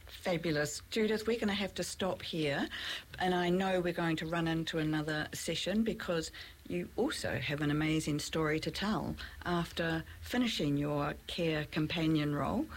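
A woman's voice: low voice, slight background noise. A woman host wrapping up an interview, talking in an upbeat, encouraging way. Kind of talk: casual chat sign-off.

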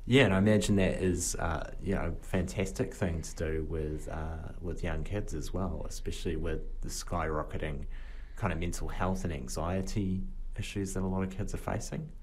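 A man hosts a podcast interview, asking his questions in a halting way full of fillers. His voice sits low in pitch. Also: fairly even intonation, darkish tone, poor audio. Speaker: podcast host. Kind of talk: casual interview.

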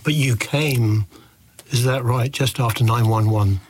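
A man hosting a podcast interview, with a deep, comforting voice, asking long, measured and thoughtful questions. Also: a little hiss or hum. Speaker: podcast host. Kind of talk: interviewing a guest.

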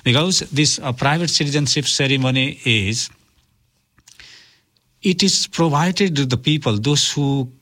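Male interview guest, native Nepali speaker, mid-pitched voice, earnest and formal delivery. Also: a little slow, bright, forceful tone. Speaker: interview guest, community worker and officiant for migrants and refugees.

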